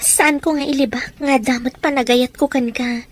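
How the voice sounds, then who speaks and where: Very high woman acting as young devoted sister, tender, earnest, audio drama. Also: steady tone, moderate pace. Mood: tender, torn. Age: young adult. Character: devoted, dutiful young eldest sister in love.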